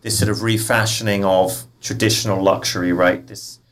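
A man interviewing a guest, asking long questions in a halting way with many restarts. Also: on the low side, fairly even intonation, fairly bright tone, a little hiss or hum. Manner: halting, restarts his sentences.

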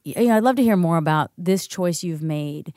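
Lower-pitched woman hosting, very dry sound. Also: lively intonation, fairly quick, dark tone, no echo. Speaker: podcast host and interviewer. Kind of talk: conversational interviewing.

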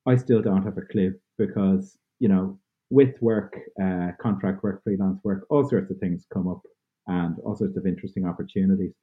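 A man's voice: sits low, steady tone, normal speed, slightly rough. A man from Ireland in his thirties, a podcast guest giving earnest, thoughtful answers with lots of fillers. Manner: earnest, thoughtful, loose phrasing with many fillers. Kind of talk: reflective interview answers. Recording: tinny phone-like line.

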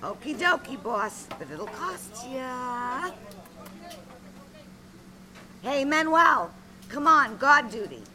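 A woman's voice: high-pitched, big pitch swings, slow pace, bright, forceful tone, heavily acted. A woman playing a brassy, streetwise, motherly veteran waitress in a comic radio play, coaxing and wisecracking in slang. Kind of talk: comic radio theatre acting. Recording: noisy restaurant ambience behind.

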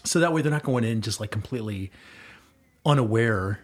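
A man's voice: lowish voice, lively intonation, a little fast. A middle-aged man talking casually and earnestly, rambling with fillers and restarts as he talks about coaching his kids. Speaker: guest and volunteer girls' basketball coach. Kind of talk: casual conversation.

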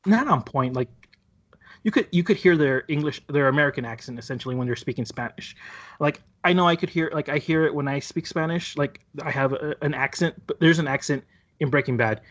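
A man chatting casually about a TV show, rambling and halting with many restarts and fillers. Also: on the high side, fairly bright tone. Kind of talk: casual chat reviewing a TV show.

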